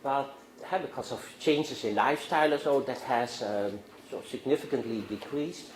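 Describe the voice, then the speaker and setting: Older Dutch man, guest lecturer; halting and discursive, mid-pitched, roomy classroom sound. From Netherlands. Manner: discursive, halting restarts, rhetorical questions to students. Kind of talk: university lecture. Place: university classroom. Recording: echoey classroom with faint noise.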